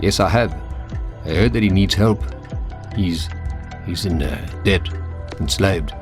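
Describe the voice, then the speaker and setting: An actor with an African accent playing a plain-spoken traveller in a video game, direct and matter-of-fact. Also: male voice, deep voice, slow pace, over music. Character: plain-spoken male traveller, an outsider seeking passage. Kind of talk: video game character acting.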